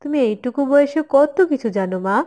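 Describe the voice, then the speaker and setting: An admiring auntie in a moral cartoon, played by a woman. Her voice is on the high side, and she delivers scripted lines as a grown-up speaking to a child. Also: lively intonation, brisk, dark tone. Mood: amazed admiration.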